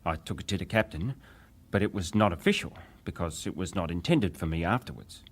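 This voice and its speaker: An actor playing a young British wireless operator giving testimony in a formal, deferential, precise way, as in a dramatized hearing. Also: male voice, low voice, animated, fairly quick, husky. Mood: precise, factual.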